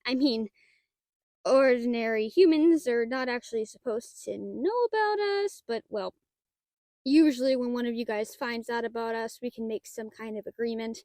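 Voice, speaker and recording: A woman playing a shy, nerdy young half-dragon librarian in an audio roleplay, flustered and halting, bookish and given to theatrical indignation. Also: a little high, darkish tone, no room sound, lightly acted. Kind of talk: audio roleplay acting, addressed to the listener.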